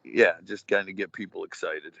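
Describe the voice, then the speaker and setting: A man's voice: low-pitched, steady tone, normal speed, creaky, sharp tone, dry recording. A man in his forties chatting casually on a podcast, rambling with lots of fillers and wrestling slang. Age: 40s. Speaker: guest, retired wrestler. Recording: dull lo-fi podcast audio, dry.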